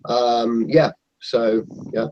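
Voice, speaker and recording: A man's voice: mid-range voice, level tone, drawn-out words, a little mellow, highs cut off, a little hiss or hum. A man from Portugal chatting on a video call, passionate and emphatic, calling the others mate. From Portugal (Madeira). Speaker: guest on an online hangout. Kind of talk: casual group chat.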